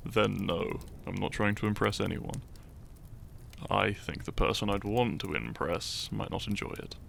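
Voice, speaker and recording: A man playing a shy, teasing, lovestruck young hero in an intimate romantic audio roleplay, speaking softly and hesitantly with small stammers. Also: on the low side, a little hiss or hum, exaggerated voice. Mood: teasing, bashful. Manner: soft, hesitant, stammering, breathy sighs and chuckles.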